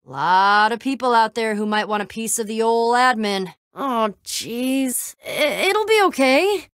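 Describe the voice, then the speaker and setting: A woman's voice: fairly high pitch, animated, a little slow, fairly bright tone, smooth, no echo, studio quality. An actress voicing a scrappy, wry young female adventurer in a video game, talking in a casual, sarcastic, plain-spoken way. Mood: casual, teasing.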